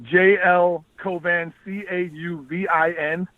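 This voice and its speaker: A man's voice: sits high, fairly even intonation, takes it slowly, no room sound, phone-quality sound. A man appearing as a guest rapper and podcaster, moving between freestyle rap and casual promotional talk.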